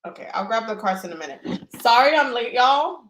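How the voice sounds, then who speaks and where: A woman's voice: on the high side, very animated, brisk, fairly bright tone, reverberant, low-quality recording. A woman hosting a casual tarot live stream who chats and laughs while she eats. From Dominican. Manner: chatty and laughing, talks while eating.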